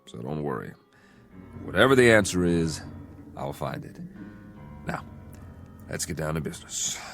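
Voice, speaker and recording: A middle-aged man playing a methodical, confident aviation investigator in a television drama, with a measured official manner. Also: rumbling low, sing-song, vocal fry, fairly bright tone, faint music behind, heavily acted. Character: self-assured, methodical male federal aviation investigator.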